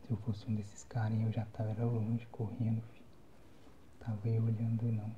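A young Brazilian man giving casual, slangy ASMR gameplay commentary in a soft, breathy whisper. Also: on the low side, completely flat delivery, brisk, muffled recording, a little hiss or hum. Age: young adult. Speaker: gamer, ASMR channel host. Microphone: phone microphone, close.

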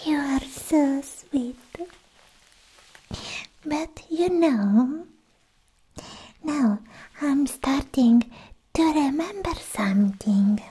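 A young woman playing a sweetly possessive, obsessed alien girlfriend in a whispered, coaxing ASMR roleplay, speaking close to the mic in halting phrases. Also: high-pitched, animated, mellow, exaggerated voice, poor audio. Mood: mildly reproachful. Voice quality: breathy, mostly whispered. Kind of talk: soft-spoken ASMR roleplay. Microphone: close ASMR microphone.

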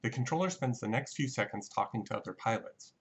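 A man giving a measured, matter-of-fact scripted technical narration as an explainer. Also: medium pitch, expressive, background noise. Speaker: narrator of a flight reconstruction.

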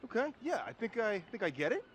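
Naive young finance hopeful, high and swooping, slangy, excitable, for a satirical game film. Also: male voice, quick pace. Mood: puzzled, uneasy. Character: eager, naive young male would-be stock trader. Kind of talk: satirical video game movie acting.

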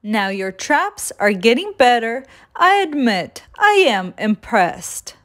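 Woman playing a cheeky storybook gingerbread man, boastful, sing-song taunts, slow delivery, very lively pitch. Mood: teasing. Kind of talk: children's storybook read-aloud.

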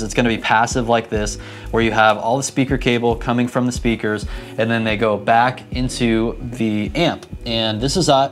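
A man in his thirties giving a conversational, practical tutorial to camera, speaking into a lapel mic. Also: medium pitch. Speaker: church audio instructor. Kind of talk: explanatory tutorial talk to camera. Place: indoors, equipment room and church hall. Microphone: clip-on lapel mic.

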